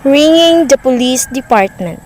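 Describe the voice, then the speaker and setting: A woman's voice: high-pitched, expressive, measured pace. A woman playing a polite, formal, unhelpful switchboard clerk in a radio play, courteous and detached. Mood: brisk, impersonal. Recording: amateur recording with faint background noise.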